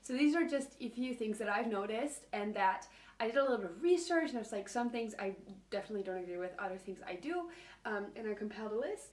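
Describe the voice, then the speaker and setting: Woman, low voice, casual advice video, hesitant with fillers, far off, echoey room. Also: animated, fast, darkish tone. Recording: video replayed into a room mic, echoey and distant.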